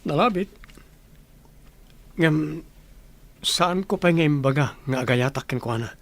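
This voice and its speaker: A man's voice: fairly high pitch, measured pace, vocal fry, fairly bright tone. A man playing a smitten young village suitor in radio drama, with an Ilocano accent. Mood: earnest.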